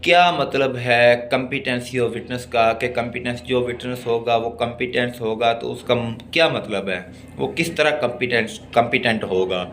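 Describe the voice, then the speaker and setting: A man with a Pakistani accent lecturing on law, deliberately reading out text and explaining it in a teacherly way. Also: mid-range voice, a bit bright, slight background noise. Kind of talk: exam-oriented law lesson, reading English text and explaining it.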